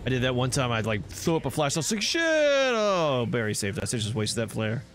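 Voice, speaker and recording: Man's lively-pitched casual gameplay commentary. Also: fairly quick, slight background noise. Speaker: gameplay video host. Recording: game music behind the voice.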